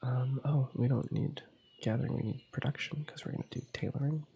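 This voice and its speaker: A young man with a cold, quietly commenting on his gameplay in a soft ASMR style, often slipping into whispers. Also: medium pitch, fairly even intonation, brisk, creaky, slight background noise. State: has a cold. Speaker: ASMR gaming channel host.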